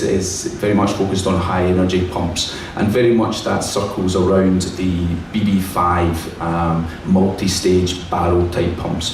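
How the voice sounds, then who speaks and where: A man's voice: sits low, creaky, darkish tone, slight background noise. A man with a Scottish accent giving a friendly technical presentation in a hotel conference hall. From Scotland. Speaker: guest engineer presenter. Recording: echoey hall from far off.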